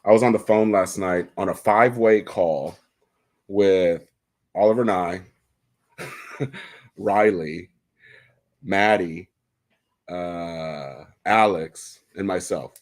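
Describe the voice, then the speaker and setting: A man with a very low voice hosting a casual livestream chat, talking into a desk microphone in a loose, joking way and laughing often. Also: slow pace, long pauses, darkish tone. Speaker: livestream host.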